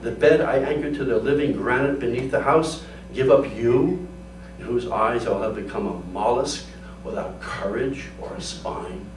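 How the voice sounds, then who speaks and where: A man's voice: mid-range voice, moderate pace, slight background noise, close to an everyday voice. A man reading a story in the part of a proud, stubborn, weary old seafarer with a folksy, rhetorical way of talking. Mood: indignant. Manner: folksy sailor idiom, rhetorical. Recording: roomy live event, distant mic.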